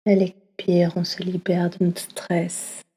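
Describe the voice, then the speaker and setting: A woman leading a guided yoga nidra session, speaking slowly and softly, often slipping into a half-whisper. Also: on the low side, monotone, slightly rough. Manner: slow, soft, often half-whispered delivery. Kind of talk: guided meditation. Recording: long reverb as in a hall.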